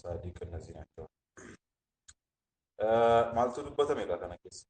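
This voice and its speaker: Low-voiced man teaching creed. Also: lively intonation, a little mellow, low-quality recording. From Ethiopia. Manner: reads short Arabic phrases, then explains them slowly and checks on listeners with "hm?". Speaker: religious teacher. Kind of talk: Islamic creed lesson, reading a text and explaining it.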